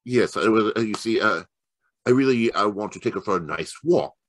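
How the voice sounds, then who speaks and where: Low, swooping radio detective, acted by a man. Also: lively intonation, no echo. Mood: hesitant, coaxing. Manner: halting, stammering, then deliberate and formal. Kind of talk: old-time radio mystery acting. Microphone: home microphone on a video call.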